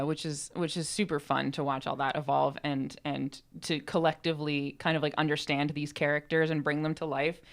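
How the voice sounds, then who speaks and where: A woman co-hosting a podcast, talking in a casual, conversational way into a microphone. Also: low voice. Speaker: podcast co-host and co-writer.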